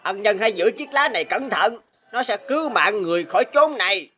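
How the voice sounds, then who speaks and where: A woman's voice: mid-range voice, animated, brisk, highs cut off, slight background noise, lightly acted. A woman voicing a grateful magic snake in a cartoon folk tale, formal and reassuring. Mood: reassuring, solemn. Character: grateful, gentle magic snake giving solemn counsel. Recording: music under the voice.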